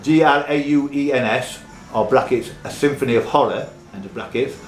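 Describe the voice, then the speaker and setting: A man's voice: medium pitch, fairly quick. A man hosting a live pub quiz, reading questions and chatting teasingly with contestants. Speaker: quiz host. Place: echoey room in a pub. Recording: roomy echo, music in background.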